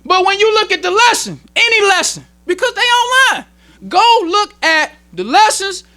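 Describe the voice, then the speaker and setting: American man, very high pitch, forceful sharp tone, emphatic colloquial sermon, repeated key points. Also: sing-song, normal speed. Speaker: minister teaching at a temple meeting. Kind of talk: religious sermon, explaining teachings.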